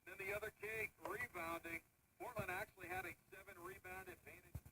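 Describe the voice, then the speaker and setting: A man's voice: sits high, big pitch swings, a little fast, creaky, pushed and bright, slight background noise, low-quality recording. An American man doing broadcast talk, heard through an old AM tube radio speaker picked up by a phone. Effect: played through an old tube radio speaker.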